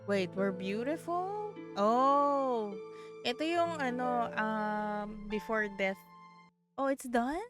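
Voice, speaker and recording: A woman's voice: fairly high pitch, lively intonation, takes it slowly. A woman with a Filipino accent, streaming a game and reading its text aloud. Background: game music.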